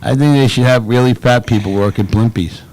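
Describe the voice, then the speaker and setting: Loud, mocking man on a live show. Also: medium pitch, a little fast, poor audio. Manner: loud, mocking, crude joking. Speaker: co-host of a live web show. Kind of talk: casual crude banter on a live stream.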